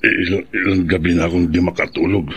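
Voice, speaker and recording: A man playing a fearful, sleepless man, halting and uneasy in a radio horror drama monologue. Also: low voice, steady tone, slightly rough, no room sound, dull audio. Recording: faint background noise and ambience.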